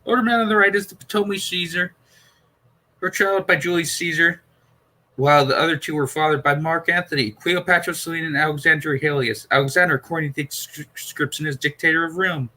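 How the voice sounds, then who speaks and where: Guy doing a pompous brainy time traveller from a storybook, raised pitch, bright, lecturing away. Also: steady tone, fairly quick, low-quality recording. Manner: lecturing, formal, wordy.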